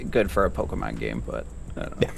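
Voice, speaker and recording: A man's voice: extremely low pitch, big pitch swings, fast talker, creaky, slight background noise. A man chatting casually as a guest on gaming commentary, hesitant and thinking aloud. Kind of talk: casual unscripted gaming chat.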